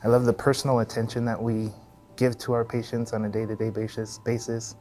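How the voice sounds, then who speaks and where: A man's voice: medium pitch, little pitch movement, fairly quick, warm tone, soft background music. A middle-aged man from Texas with an American accent, giving casual interview answers as a physical therapist. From El Paso, Texas, USA.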